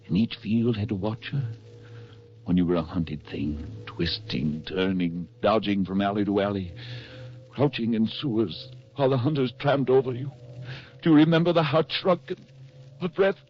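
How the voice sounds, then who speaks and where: A man's voice: mid-range voice, lightly acted. Actor as haunted man, muffled old radio drama. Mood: agitated. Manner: tense, halting, anguished. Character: haunted, fearful man with a broken mind. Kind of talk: 1940s radio drama acting. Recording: dull 1940s radio recording with music.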